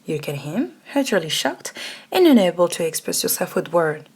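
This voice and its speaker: A woman's voice: on the low side, sing-song, moderate pace, a little mellow, slightly rough. A woman narrating a scripted ASMR roleplay story, addressing the listener directly. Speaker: narrator and voice actor.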